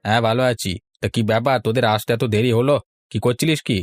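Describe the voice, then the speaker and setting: A man's voice: medium pitch, fast, dry recording, studio quality, lightly acted. A man voicing a fussy, chiding village father in a melodramatic cartoon, speaking in exclamations. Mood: welcoming, fussy. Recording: music behind the voice.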